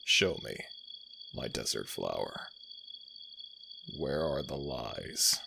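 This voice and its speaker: An ancient jackal god of the dead in an ASMR audio roleplay, speaking with a cavernous, growling rumble. His lines swing with animated intonation between command and tenderness. A steady hiss of noise sits behind the voice. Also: full character voice. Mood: tender. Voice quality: gravelly, deep and slow.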